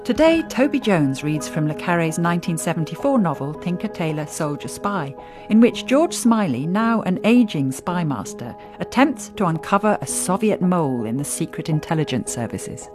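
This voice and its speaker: A woman's voice: lowish voice, very animated, moderate pace. A woman reading a scripted podcast introduction as host. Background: music.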